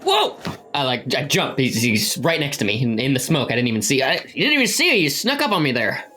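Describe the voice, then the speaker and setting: A man playing a tabletop role-playing game, improvising casually in a rambling, excitable way. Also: mid-range voice, a little fast, faint music behind. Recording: faint background noise, room ambience.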